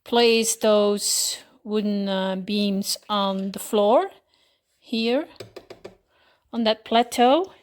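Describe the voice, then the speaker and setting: A woman with a Dutch accent casually explaining a craft project, pausing often with fillers and correcting herself as she goes. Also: medium pitch. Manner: hesitant, many pauses and fillers, self-correcting. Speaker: hobby crafter showing a miniature build. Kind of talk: casual show-and-tell explanation.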